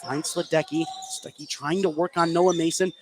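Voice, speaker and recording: A man calling live high school basketball play-by-play from an indoor gym, running through the action and getting excited on big plays. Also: moderate pace. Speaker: play-by-play commentator. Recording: noisy live gymnasium ambience.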